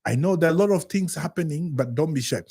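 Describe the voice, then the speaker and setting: A man's voice: on the high side, fast talker. A man from Kenya whose first language is Swahili, hosting a casual chat and telling his story with lots of repeated words. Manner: animated, repeats words while searching for them. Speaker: podcast host.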